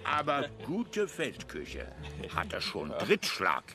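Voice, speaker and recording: An actor playing a boisterous, leering old soldier in a German radio play, barking hearty, clipped phrases. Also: male voice, medium pitch, huge pitch swings, slow pace, sharp tone, faint music behind, exaggerated voice. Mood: jovial, boisterous.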